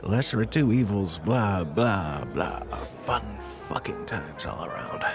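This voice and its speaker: A man's voice: sits low, lively intonation, slow pace, over music, full character voice. An actor playing a bitter, drunken, grieving father in an audio drama, sarcastic, profane and weary. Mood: bitter mocking.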